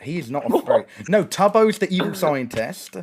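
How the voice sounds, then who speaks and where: A young man, joking and rambling fast in a casual gaming stream chat. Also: a little high, sing-song, background noise.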